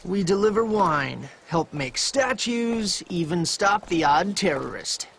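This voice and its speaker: A man's voice: high-pitched, animated, takes it slowly, gravelly, fairly bright tone, slight background noise, exaggerated voice. A man voicing a wry, sardonic hired hunter in a video game, with a dry, teasing manner.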